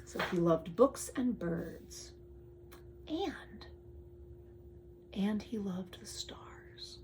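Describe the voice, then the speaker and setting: A woman reads a picture book aloud to a young child in drawn-out phrases, often dropping to a hushed tone and adding chatty asides. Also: mid-range voice, expressive, whispered. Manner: addresses the child with questions and pointers. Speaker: storyteller reading to a young child.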